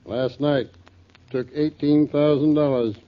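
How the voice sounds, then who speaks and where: A man's voice: on the high side, steady tone, a little slow, close to an everyday voice. A male actor playing an easygoing fat gambling-den owner, wry and given to chuckling, in a 1940s radio drama. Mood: resigned. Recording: muffled 1940s radio recording.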